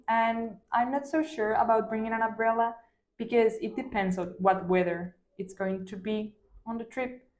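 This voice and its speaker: A young woman whose first language is Spanish answers test questions in a steady, thoughtful way. Her pitch sits in the middle range. The room echoes around her. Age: 20s. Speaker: student, exam candidate. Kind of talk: practice exam answers.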